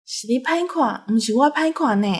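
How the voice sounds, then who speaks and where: A woman with a Taiwanese accent and a husky voice, hosting a show with banter. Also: sits high, lively intonation, normal speed. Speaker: show host. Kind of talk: bantering radio show talk.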